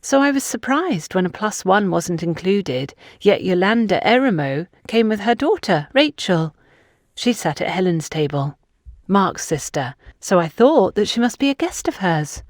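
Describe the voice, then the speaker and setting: An older, meddling society mother from an audiobook , voiced by a woman narrator. She is chatty, dramatic and insistent, with swooping, lively intonation on a dry recording. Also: mid-range voice, no room sound. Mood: gossipy, suspicious.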